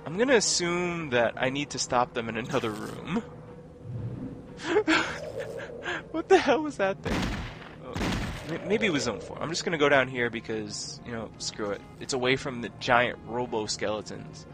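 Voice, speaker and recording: A man's voice: mid-range voice, animated, brisk, hardly any pauses. A man giving casual, wryly sarcastic commentary as he plays through a video game. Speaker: video game let's player. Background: video game music and sound effects.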